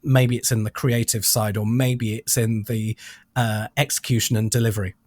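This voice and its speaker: A British man hosting a podcast interview, asking questions in deliberate, wordy phrasing. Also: on the low side, flat delivery, darkish tone, no echo. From United Kingdom.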